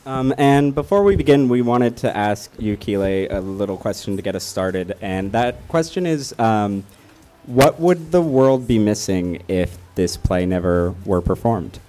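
Guy cohosting a live show, lowish voice, casual, little bit of noise. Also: animated, measured pace, dark tone. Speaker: show co-host. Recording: faintly noisy live recording, dulled highs.

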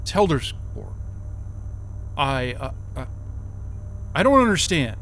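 An actor playing a groggy, disoriented man in an audio drama, stammering and halting as he tries to make sense of things. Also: on the high side, big pitch swings, fairly bright tone, a little hiss or hum, full character voice. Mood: groggy confusion. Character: bewildered, groggy male job candidate waking from amnesia.

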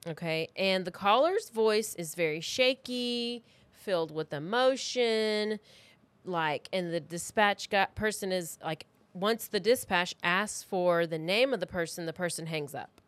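A woman podcast co-host telling a true crime story in an informal, conversational way, with halting asides. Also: fairly low pitch, fairly bright tone, no echo.

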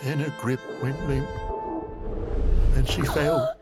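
An actor playing a very old, haunted survivor, telling his tale gravely and theatrically in an audio drama. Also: a little high, wildly swooping pitch, slow pace, heavily acted. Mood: harrowed, intense. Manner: grave, theatrical, emphatic storytelling. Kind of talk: dramatic audio fiction narration. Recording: music behind the voice.

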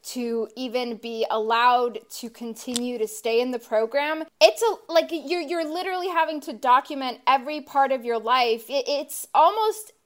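A woman's voice: high-pitched, moderate pace, few pauses. Impassioned, profane woman podcast host. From grew up in the US after her parents immigrated. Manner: impassioned, profane, rambling with many fillers. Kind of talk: casual podcast discussion.

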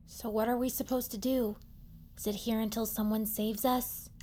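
A woman playing a scared, whiny high school girl in an audio drama. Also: level tone, moderate pace, dark tone, a little hiss or hum, lightly acted. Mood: anxious.

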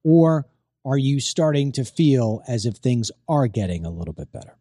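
A man's voice: medium pitch, big pitch swings, moderate pace, dark tone, no room sound, clean studio sound. A middle-aged man hosting a casual talk show, chatty and rambling, his voice strained as it recovers from being lost. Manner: chatty, rambling, repeats himself. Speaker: host.

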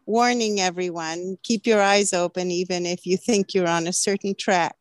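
A woman from Chile in her fifties, a flower farmer telling her story as an interview guest, chatty and quick to laugh. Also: fairly low pitch, fairly even intonation, no echo. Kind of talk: casual interview.

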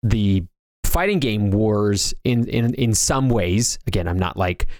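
A man's voice: on the low side, animated, takes it slowly, a little mellow, no room sound, clean studio sound. A man co-hosting a video game discussion, talking casually and conversationally, often doubling back on his words. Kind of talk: casual pop-culture discussion.

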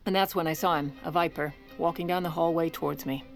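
Anxious first lady in audio drama, low gravelly voice, halting, evasive, confessional. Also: fairly even intonation, faint music behind. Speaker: actor and narrator in an audio drama. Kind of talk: dramatic first-person narration and dialogue.

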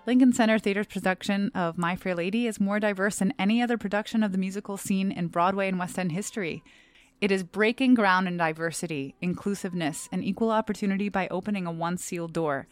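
A young adult woman, a stage actor, reading a scripted personal essay aloud in a measured, earnest, clearly enunciated way. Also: medium pitch, no room sound.

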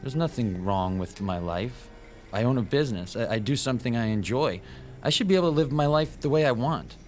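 An actor playing a timid, bullied shop owner in an audio drama, hesitant, defensive and pleading. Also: male voice, medium pitch, expressive, fairly quick, soft background music, natural voice. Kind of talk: modern audio drama acting.

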